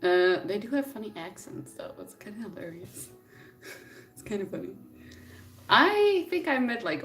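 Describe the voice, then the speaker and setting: A woman's voice: low-pitched, fairly bright tone, recorded from a distance. A woman from the Netherlands, a native Dutch speaker, chatting casually and thinking aloud as she answers viewers on a live stream. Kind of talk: casual chat, reading and answering questions.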